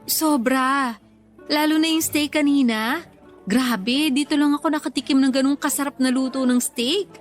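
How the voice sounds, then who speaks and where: Actress as devoted, insecure girlfriend, highish voice. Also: fairly even intonation, moderate pace, faint music behind, natural voice. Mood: tender, happy. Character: insecure, devoted young woman in love. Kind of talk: Tagalog radio drama acting.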